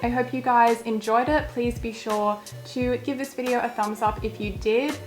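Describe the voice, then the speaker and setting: A young woman, chatting casually through a vlog in a croaky voice that is wearing thin, with plenty of filler words. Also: on the high side, steady tone, moderate pace, faint music behind. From Australia. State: croaky, losing her voice. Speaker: vlogger. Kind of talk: casual walkthrough.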